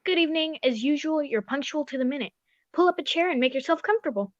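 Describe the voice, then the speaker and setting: A genial, sentimental gentleman sidekick from a radio mystery is played by a woman. The voice is high, with polite, old-fashioned exclamations. It is captured dry and slightly dull. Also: expressive, fast talker, dry recording. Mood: genial, welcoming. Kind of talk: old-time radio drama acting.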